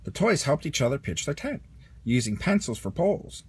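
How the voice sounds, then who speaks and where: Husky man reading a storybook. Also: medium pitch, sing-song, normal speed, dry recording. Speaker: storybook reader. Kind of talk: expressive children's read-along narration.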